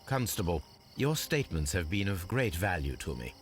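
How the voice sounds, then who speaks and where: An actor playing a shrewd, composed Victorian gentleman detective with an English accent, formal and measured, in a video game drama. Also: sits low, sing-song, a little hiss or hum. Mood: inquiring, courteous.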